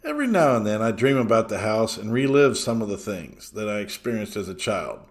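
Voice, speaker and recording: A man's voice: on the low side, sing-song, brisk, soft background music. A man reading a first-person story aloud as scripted podcast narration. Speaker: podcast host reading listener stories.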